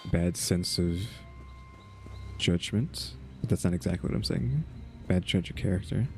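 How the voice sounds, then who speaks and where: A man's voice: sits low, unhurried, vocal fry, faint music behind. A man giving casual gameplay commentary, rambling and thinking aloud as he searches for words. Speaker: streamer playing and commenting on a game.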